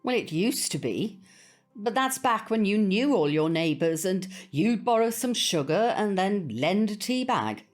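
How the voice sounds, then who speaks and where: A woman's voice: mid-range voice, expressive, a little slow, faint music behind, lightly acted. An actress playing a prim older neighbour woman in an audio drama, proper, hesitant and genteel. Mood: earnest, reflective.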